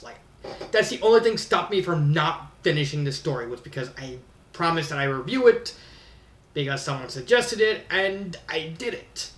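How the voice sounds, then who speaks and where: Guy with a pretty high voice rambling through a review, kinda fed up, roomy and off the mic. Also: a bit bright. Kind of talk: casual spoken fan fiction review. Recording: roomy echo, distant from mic.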